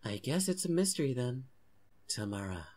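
A woman voicing an eager young boy in an earnest, expressive way for a comic fan dub. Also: mid-range voice, moderate pace. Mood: casual, friendly. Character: young boy who befriends a forest girl, eager, defiant.

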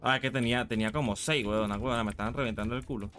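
A man's voice: mid-range voice, steady tone, racing, fairly bright tone. A man with a Venezuelan accent streaming a game, chatting casually with friends in crude slang and repeated short phrases. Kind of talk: casual gaming chat with friends. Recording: music behind the voice. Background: music.

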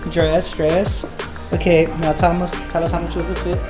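A woman's voice: lowish voice, little pitch movement, moderate pace, music in the background. A woman chatting casually as a vlog host, playfully prompting others with questions in slangy talk. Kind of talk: casual chat.

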